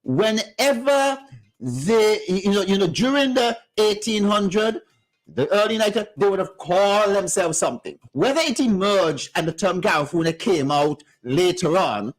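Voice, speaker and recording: A man's voice: sits high, lively intonation, normal speed, poor audio. Insistent man arguing on radio. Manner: insistent, interrupting, lecturing. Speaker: radio co-host. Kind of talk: heated radio discussion. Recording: radio studio.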